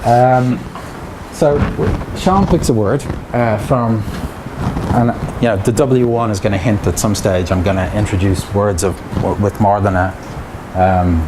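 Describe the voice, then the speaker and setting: A middle-aged man with an Irish accent giving a chatty academic seminar talk, with joking asides to the audience. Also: lowish voice. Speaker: lecturer. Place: seminar room with projector. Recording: echoey room with background noise.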